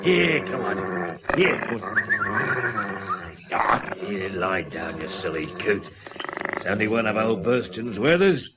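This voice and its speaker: A man's voice: fairly low pitch, big pitch swings, takes it slowly, pauses often, very noisy, exaggerated voice. A man playing an old Australian vagrant bushman in a radio drama, speaking in rustic, ungrammatical bush talk. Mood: gruff, fond. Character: frightened old male vagrant bushman, alone with his dog.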